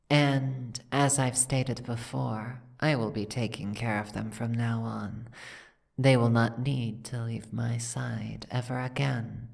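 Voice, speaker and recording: A woman voicing a possessive, regal dragon princess in a fantasy audio roleplay, speaking in a formal, imperious, measured way. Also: very deep voice, vocal fry, full character voice.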